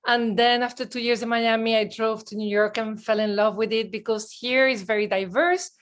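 A woman in her forties, born in Belgium, a podcast guest telling lively stories and acting out her past self in excited bursts. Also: a little high, moderate pace. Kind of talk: casual interview chat.

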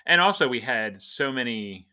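A middle-aged man hosting a casual podcast, chatting and telling stories with animated, emphatic build-ups. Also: medium pitch, normal speed, a crowd in the background. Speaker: podcast host.

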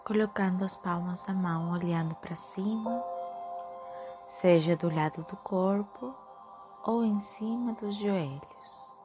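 A woman leading a guided meditation in a soft, soothing, often breathy manner with drawn-out phrases. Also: mid-range voice. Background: soft music.

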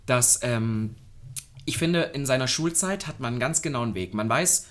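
A man's voice: mid-range voice, animated, normal speed. A man in his twenties co-hosting a podcast, chatting in a loose, rambling and playful way. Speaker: podcast co-host. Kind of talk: casual podcast chat.

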